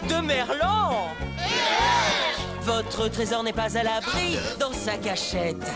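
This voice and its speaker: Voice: mid-range voice, big pitch swings, slow pace, over loud music. An actor playing a flustered male pirate genie in a cartoon, sneezy with allergies and lamenting dramatically. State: allergic, ticklish nose. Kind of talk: cartoon voice acting.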